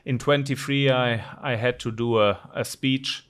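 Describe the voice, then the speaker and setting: Middle-aged man, unhurried and halting, clean clear voice, casual interview guest. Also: medium pitch, steady tone, fairly bright tone. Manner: halting, many ums and restarts. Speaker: guest, managing director.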